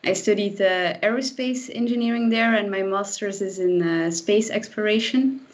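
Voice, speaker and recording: A woman's voice: mid-range voice, normal speed. A woman in her twenties from Belgium, a guest casually introducing herself on a livestream over a lo-fi online call. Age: 20s. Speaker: guest, young trainee engineer. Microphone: video call microphone. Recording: dull lo-fi online call audio.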